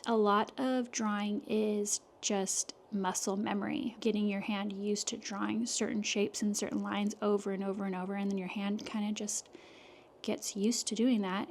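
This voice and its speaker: A soft-spoken woman chatting casually to camera in her home art studio, talking through her work with lots of fillers. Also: medium pitch, slight background noise. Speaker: illustrator vlogger. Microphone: external microphone.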